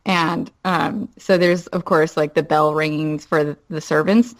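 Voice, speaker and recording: Female podcast guest, slightly low pitch, loose conversational storytelling, halting and informal. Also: steady tone. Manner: halting, peppered with "like", swears casually. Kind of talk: casual chat, personal anecdote.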